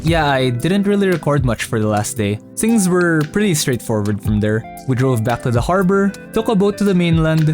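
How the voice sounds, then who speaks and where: A man's voice: a little high, sing-song, normal speed, few pauses, darkish tone, soft background music. A young man from the Philippines narrating his trip in a casual, joking voice-over. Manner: playful, joking asides. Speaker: travel vlogger.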